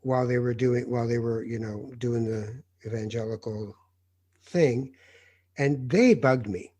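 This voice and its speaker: A middle-aged journalist from Morocco talks in an easy, conversational way, with a slightly husky voice at a medium pitch. He tells his stories with animation and slips into the voices of the people he quotes. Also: a little slow, warm tone, no echo. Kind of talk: casual conversation, storytelling.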